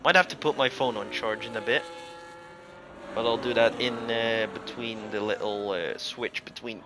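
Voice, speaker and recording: A man's voice: medium pitch, moderate pace, background noise. A man from Europe giving casual live sim racing commentary as a livestream host, mixing it with jokey, mock-indignant banter.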